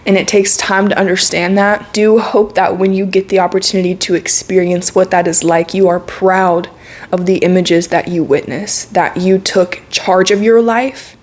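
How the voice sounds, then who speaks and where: A woman in her early twenties speaks straight to her viewers in a relaxed, heartfelt way. She mixes earnest advice with slang and easy laughter, her voice sitting in a middle range. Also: medium pitch, normal speed. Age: 20s. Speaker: vlogger. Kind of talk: casual reflective monologue to camera.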